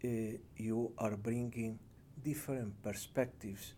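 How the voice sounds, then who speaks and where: A middle-aged man from Ecuador with a Spanish accent, giving reflective interview answers in a measured, halting, earnest way. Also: fairly low pitch, fairly even intonation, dark tone, a little hiss or hum. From Cuenca, Ecuador. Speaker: international development professional, interviewee.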